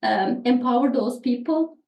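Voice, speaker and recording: A woman's voice: fairly high pitch, measured pace, vocal fry, dull audio. A woman from Turkey with a Turkish accent and native Turkish, talking earnestly as an interview guest, often repeating words as she searches for phrasing. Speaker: podcast guest, therapist. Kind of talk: conversational interview. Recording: echoey room, distant from mic.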